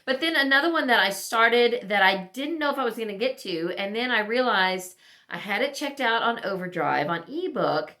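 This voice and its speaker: A woman talking casually as a book vlogger, thinking aloud in halting, conversational asides. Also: on the high side, lively intonation, bright, forceful tone. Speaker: book vlogger reviewing recent reads. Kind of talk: casual chat to camera.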